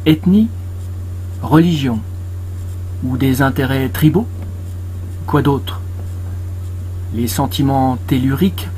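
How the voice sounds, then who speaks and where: A man reads verse in a solemn, declamatory voice-over style. His pitch sits in the middle and his delivery is very slow, broken into deliberate short phrases. Noticeable ambient noise runs behind the voice. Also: a little mellow, low-quality recording. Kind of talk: solemn poetry recitation, voice-over for a short film.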